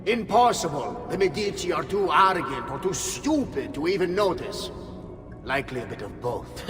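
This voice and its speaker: Arrogant plotter, cavernous reverb. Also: male voice, a little high, sing-song, normal speed. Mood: contemptuous. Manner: contemptuous, clipped, assured. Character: arrogant, scornful Italian nobleman plotting murder. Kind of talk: video game cutscene acting. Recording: cavernous reverb under loud music.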